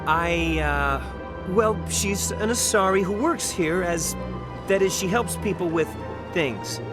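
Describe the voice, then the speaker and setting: An actor voicing a nervous, awkward young soldier who stammers. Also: male voice, high voice, slow pace. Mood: flustered. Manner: flustered, stammering. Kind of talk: video game voice acting. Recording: game audio over music.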